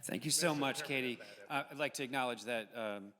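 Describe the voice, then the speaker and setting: A man moderates a live public discussion in a reverberant hall. His voice sits at an average pitch, and he pauses often as he hands the floor around. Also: sharp tone. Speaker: host of an audience discussion. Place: large indoor hall at a live event. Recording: echoey hall with long reverb.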